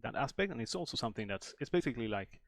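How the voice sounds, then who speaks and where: Game developer explaining. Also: male voice, mid-range voice, expressive, very fast, a bit bright, no room sound. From Sweden. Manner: explains at length with many fillers and restarts. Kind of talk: stream interview.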